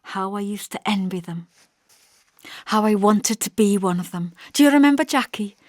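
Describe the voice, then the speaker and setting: Woman voicing a brittle, detached young wife, animated pitch. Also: normal speed, no echo, clean studio sound, natural voice. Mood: wistful. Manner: flat, detached, drifting from bright to toneless. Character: pregnant, brittle, detached young married woman, wistfully odd.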